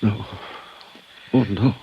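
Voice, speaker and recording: An anguished, formal gentleman with a Spanish accent in a vintage radio drama. His voice is low and his phrasing careful, over faint recording noise. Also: fairly even intonation, takes it slowly, vocal fry, dull audio. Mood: anguished, grieving. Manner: formal, careful phrasing in foreign-sounding syntax. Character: formal, anguished Latin American husband, newly widowed. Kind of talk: 1940s-50s radio drama acting.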